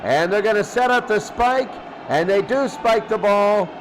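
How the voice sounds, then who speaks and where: Clear background noise surrounds a man calling a football game. His voice is high, and he follows the action in short, clipped play-by-play calls. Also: a little slow. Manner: clipped, present-tense calls. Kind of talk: live sports commentary. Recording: loud noise behind the voice.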